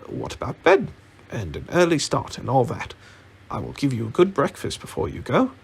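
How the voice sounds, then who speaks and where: A man's voice: mid-range voice, huge pitch swings, moderate pace, creaky, slightly rough, heavily acted. A man voicing a timid, polite little hobbit for a storybook, hesitant and rambling. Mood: nervous, rambling.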